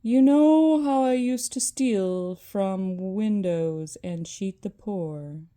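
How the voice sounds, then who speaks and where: A woman's voice: medium pitch, slow, mellow, lightly acted. A woman voicing a mournful male ghost who moans solemn warnings in a storybook reading. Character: mournful male ghost of a dead business partner.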